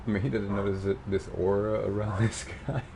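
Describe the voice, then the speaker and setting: A man's voice: fairly low pitch, little pitch movement, a little fast, gravelly, noisy background. A man giving casual live commentary as he streams a video game. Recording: loud game sound behind.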